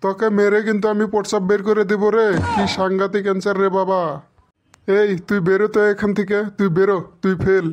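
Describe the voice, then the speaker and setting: A man's voice: high-pitched, monotone, fast, lightly acted. Man acting an exasperated, bossy cartoon schoolteacher. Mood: exasperated scolding. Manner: scolding, boastful, impatient. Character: exasperated bossy male schoolteacher quizzing pupils. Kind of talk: comedy cartoon dialogue.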